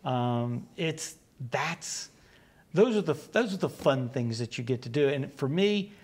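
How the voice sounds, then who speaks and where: A man in his sixties from West Virginia with a West Virginian accent, telling rambling stories in a relaxed interview on a small studio set, acting out the exchanges he quotes. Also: mid-range voice, measured pace, husky. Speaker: guest, comic book writer. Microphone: lapel.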